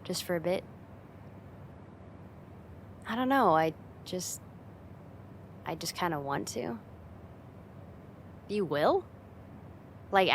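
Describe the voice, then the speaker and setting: Playful, teasing young woman over rumbly noise, acted out. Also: exaggerated voice. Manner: teasing, giggly, soft sighs, casual hesitant phrasing. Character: playful teasing young woman, friend's older sister turned sweetheart. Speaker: ASMR roleplay performer. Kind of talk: intimate ASMR roleplay, casual and conversational.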